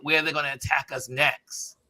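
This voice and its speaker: A man hosts a casual news discussion with a very bright, sharp-edged voice of middling pitch. He moves haltingly and repeats phrases to build his point. The sound is dry, with hardly any room to it. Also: little pitch movement, dry recording, poor audio. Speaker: talk show host.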